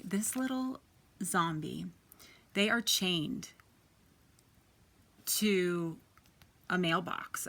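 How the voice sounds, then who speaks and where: A woman in her thirties with a quiet, soft voice, chatting casually as she gives a tarot reading. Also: medium pitch. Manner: chatty, lots of ums and likes. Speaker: tarot reader.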